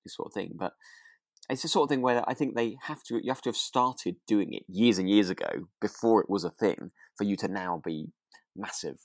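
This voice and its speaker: A man's voice: lowish voice, brisk, dry recording. A British man co-hosting a podcast, chatting casually in an informal, musing and slightly hesitant way. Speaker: podcast co-host.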